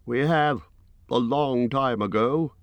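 Man reading as an aloof elf king, proud, measured, a bit raspy. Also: mid-range voice, dry recording, pitched above the performer's own voice.

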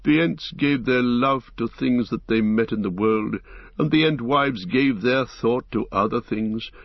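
Ancient male tree giant by a male reader, ponderous, husky, dull dry recording. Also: medium pitch, no room sound. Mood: storytelling. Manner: unhurried, ponderous, musing.

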